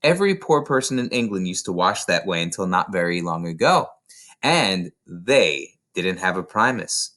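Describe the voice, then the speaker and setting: Man voicing a gentle, lilting storybook schoolteacher lady. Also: sits low, big pitch swings, normal speed. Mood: matter-of-fact. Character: gentle, kindly young woman schoolteacher in a storybook.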